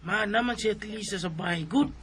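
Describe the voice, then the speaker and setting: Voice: medium pitch, brisk, a bit bright, natural voice. A performer playing a complaining youngster talking to a parent in a radio drama. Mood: whiny. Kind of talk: Bisaya radio drama acting. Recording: distant from mic, crowd noise behind.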